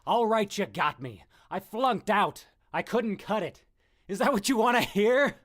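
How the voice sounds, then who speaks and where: A man playing an indignant, sarcastic reporter husband in a comedic audio drama, melodramatic and quick to wisecrack. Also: sits high, animated, a bit bright, no echo, clean studio sound, full character voice. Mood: defensive, bitter.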